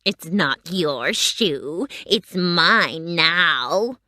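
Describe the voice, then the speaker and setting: A woman voicing a wicked old witch who drags out her threats slowly and shrieks high when she melts. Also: animated, pushed and bright, no room sound, full character voice. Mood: menacing.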